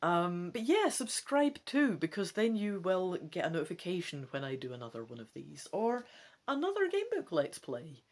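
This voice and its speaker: A woman presenting a gamebook playthrough, mixing theatrical story reading with wry, chatty asides in an expressive manner. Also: fairly low pitch, moderate pace. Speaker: gamebook let's play presenter.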